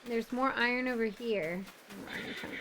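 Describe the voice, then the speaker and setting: A woman streaming a game live, chatting with viewers and reacting excitedly, her voice worn out and tired. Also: on the high side, moderate pace, background noise. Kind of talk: casual live-stream commentary.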